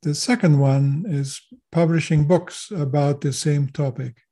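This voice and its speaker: An older man who grew up in Chile, with German as his first language, talks as a guest on a podcast. His voice sits a little high but has a dark, mellow tone. He speaks in a measured, formal way. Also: steady tone. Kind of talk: podcast interview.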